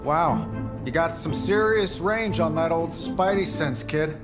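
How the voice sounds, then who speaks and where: An actor playing a wisecracking, cocky middle-aged inventor hero, sardonic and glib, in a video game cutscene. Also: male voice, a little high, a little slow, natural voice. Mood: grudging. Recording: long reverb, music behind.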